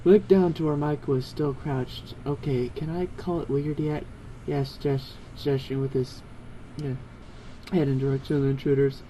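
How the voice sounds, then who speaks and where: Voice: medium pitch, fairly even intonation, normal speed, warm tone, a little hiss or hum, poor audio. Someone reading fan fiction aloud in a casual, informal way, stumbling over words and correcting themselves.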